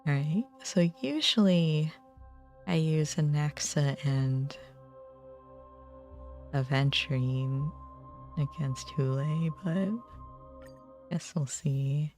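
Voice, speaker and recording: Slow-paced game streamer musing aloud. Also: mid-range voice, a little mellow. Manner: musing to self in short bursts. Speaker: livestreamer. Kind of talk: casual gameplay commentary. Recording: music behind the voice. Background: game music.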